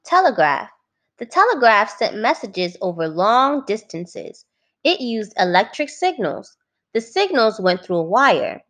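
A woman teacher reading a children's book aloud, phrasing it clearly and adding simple explanations for young listeners. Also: fairly high pitch, sing-song, a little slow, a bit bright.

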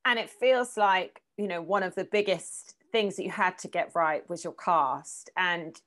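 A woman's voice: fairly low pitch, unhurried, a bit bright. A woman in her thirties asking conversational interview questions over a video call from a room at home.

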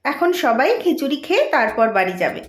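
A woman voicing an anxious, caring village wife in a cartoon, expressive and homely, with a roomy echo. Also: high voice, fast talker. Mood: relieved, warm. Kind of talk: Bengali cartoon voice acting.

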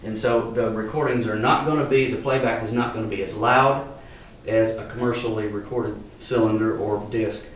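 A man's voice: on the low side, fairly even intonation, normal speed. Echoey, distant older man lecturing. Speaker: archivist giving a demonstration. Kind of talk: informal live lecture. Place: wood-paneled salon with small audience. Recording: echoey room, distant mic, faint noise.

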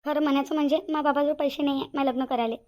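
A woman's voice: sits high, fairly even intonation, racing, a little mellow, lightly acted. A woman with a Varhadi Marathi accent voicing a selfless, earnest young bride-to-be in a cartoon family drama. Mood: worried. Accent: Varhadi Marathi from Vidarbha. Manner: earnest, repeats phrases emphatically. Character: anxious, selfless young bride-to-be, tenderly devoted.

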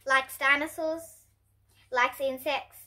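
A girl of about ten to twelve reading a picture book aloud to young children in an expressive, presentational way. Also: sits high, slow. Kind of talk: storybook read-aloud for children. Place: indoors at home, in front of bookshelves.

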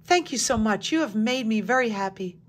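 Woman voicing a gentle wistful dandelion. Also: a little high, lively intonation, a little fast. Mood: gleeful.

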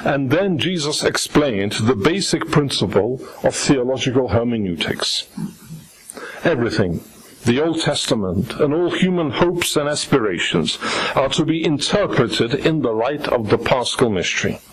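An older man, a native Polish speaker, giving a formal, measured theological lecture as a priest at a lectern, in a slightly husky voice. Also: medium pitch, lively intonation, low-quality recording. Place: lecture stage at a lectern, indoors. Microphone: gooseneck lectern microphone.

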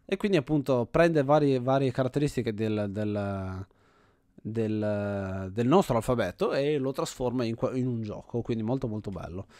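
A man's voice: medium pitch, moderate pace, dark tone, no echo. Italian man commenting casually in a dry room. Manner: thinks aloud, often repeating himself. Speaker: host of an indie game video series.

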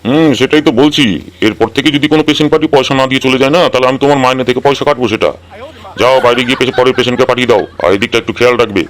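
Casting: male actor for a blunt, money-minded cartoon doctor, rapid delivery, mid-pitched, colloquial and scolding. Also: fairly even intonation, close to an everyday voice. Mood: annoyed, scheming. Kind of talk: comic Bengali cartoon dialogue.

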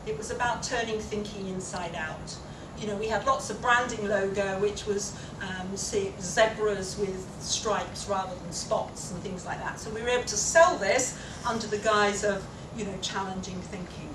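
A woman delivering a conference keynote speech in a large lecture room, explaining at a measured pace with occasional fillers. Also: mid-range voice, steady tone. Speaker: keynote speaker. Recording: echoey room, distant mic, noisy.

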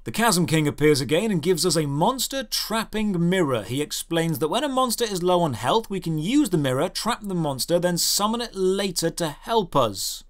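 A man's voice: sits high, big pitch swings, normal speed, few pauses. A man doing scripted comedic game commentary, sarcastic and theatrical in his emphasis. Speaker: game reviewer and video host. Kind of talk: scripted comedic commentary over gameplay footage. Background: game background music.

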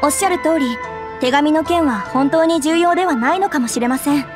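An actress playing a gentle, earnest young noblewoman in a video game, with polite, demure, formal speech. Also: sits high, brisk, lightly acted. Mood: thoughtful, earnest. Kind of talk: video game character dialogue. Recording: music under the dialogue.

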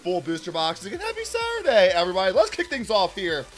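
A man's voice: high voice, huge pitch swings, fast, soft background music. Hyped man with a fading voice. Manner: upbeat, hyped, talks to viewers as y'all. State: losing his voice. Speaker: card-opening channel host. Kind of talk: excited card unboxing chat. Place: indoor room at a table.

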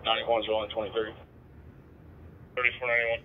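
A man's voice: medium pitch, monotone, a little fast, phone-quality sound. A man working as an emergency dispatcher, speaking in terse radio traffic over a two-way radio. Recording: band-limited, noisy police scanner radio.

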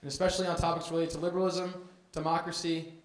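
A young college man reads speaker introductions from his notes, loud and a little high in pitch. The lecture hall around him gives his voice a roomy echo. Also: flat delivery, racing. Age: young adult. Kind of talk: reading formal introductions. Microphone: handheld microphone at a lectern.

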